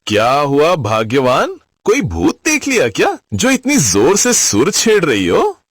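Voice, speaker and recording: A man's voice: fairly high pitch, huge pitch swings, measured pace, no room sound, studio quality, heavily acted. A man playing a teasing, playful older husband in a cartoon family story. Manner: mocking, playful. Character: teasing, jolly older husband and father-in-law.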